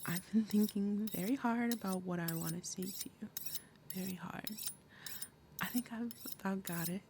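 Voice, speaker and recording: A woman voicing a big, possessive demon mother in an ASMR roleplay, falsely sweet and threatening, speaking deliberately and dropping into breathy murmurs. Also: medium pitch, noisy background, close to an everyday voice. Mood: tense, interrogating. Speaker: roleplay audio performer. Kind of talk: ASMR roleplay, acted monologue.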